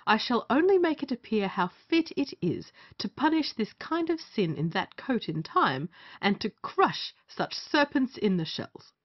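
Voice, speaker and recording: A woman's voice: on the high side, very animated, unhurried. A woman playing a bossy, scheming male justice of the peace in an old stage comedy, theatrical and commanding. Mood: stern, scheming. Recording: muffled lo-fi audio.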